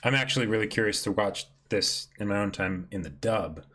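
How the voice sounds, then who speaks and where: A man's voice: on the low side, fairly quick, a bit bright. A man co-hosting a livestream in casual, conversational chat. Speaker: co-host of a livestream.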